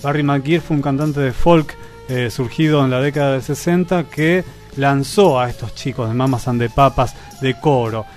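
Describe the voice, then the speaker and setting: A man's voice: medium pitch, moderate pace, faint music behind. A man talking conversationally in a radio interview, explaining things and rephrasing as he goes. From Argentina. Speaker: record archivist guest. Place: radio programme.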